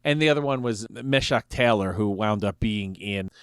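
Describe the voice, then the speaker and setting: A man's voice: fairly low pitch, moderate pace, clean tone, no echo, clean studio sound. A man co-hosting a casual fan discussion, opinionated and emphatic in how he talks. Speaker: podcast co-host.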